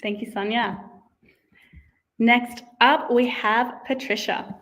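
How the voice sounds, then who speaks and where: A woman's voice: fairly high pitch, steady tone, slow, fairly bright tone. Upbeat young woman hosting online. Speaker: host. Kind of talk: scripted livestream hosting. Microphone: video call.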